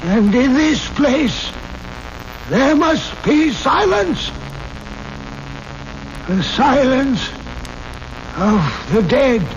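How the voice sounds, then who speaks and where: A man's voice: very high voice, very animated, drawn-out words, pauses often, full character voice. An actor playing an ancient, solemn, commanding grandfather mystic in a 1970s radio drama, speaking like an incantation. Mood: solemn, ominous. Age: older. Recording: muffled, noisy old radio recording.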